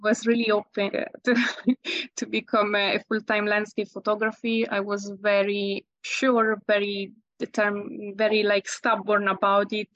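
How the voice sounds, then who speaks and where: A woman in her thirties with an Italian accent, answering interview questions in halting English with many restarts. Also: mid-range voice, flat delivery, a bit bright, dry recording, dull audio. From Italy. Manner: halting, many restarts and fillers, laughs often. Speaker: guest, landscape photographer.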